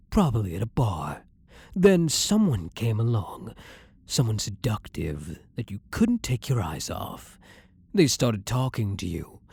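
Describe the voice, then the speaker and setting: An ancient vampire who hunts his own kind, acted by a man, speaks slowly and deliberately in a husky, breathy voice. He is blunt and dominant, with pitch that rises and falls expressively. The sound is dry and close, made for ASMR roleplay. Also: mellow, no echo, full character voice. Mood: dry, taunting. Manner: blunt, commanding, interrogating, slow and deliberate. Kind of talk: ASMR roleplay, intimate dramatic monologue.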